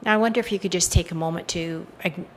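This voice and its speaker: A woman leading a guided meditation in a soothing, hypnotic style, with soft trailing phrase endings. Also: on the low side, quick pace.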